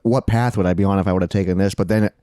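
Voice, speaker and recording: Young male co-host, low mellow voice, relaxed, dry close mic. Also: rapid-fire, no room sound. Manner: laid-back, laughs often, swears casually. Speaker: podcast co-host. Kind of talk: casual chat.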